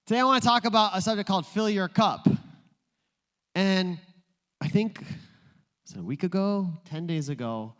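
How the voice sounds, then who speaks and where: A man's voice: sits high, normal speed, pauses often, a bit bright, smooth. A male pastor preaching a sermon in a playful, joking storytelling way. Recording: long reverb in a large room.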